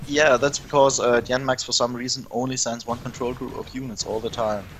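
A man's voice: mid-range voice, level tone, brisk, a bit bright, a little hiss or hum. A man casting a strategy game match, explaining plays in casual commentary and laughing easily. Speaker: esports commentator.

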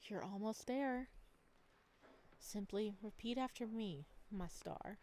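A hushed, solemn male priest from a game story, murmuring each word slowly and gently as a woman reads him out. The voice sits at an ordinary middle pitch, with faint noise behind it. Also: close to an everyday voice. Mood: gentle solemn. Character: soft-spoken solemn male moon priest.

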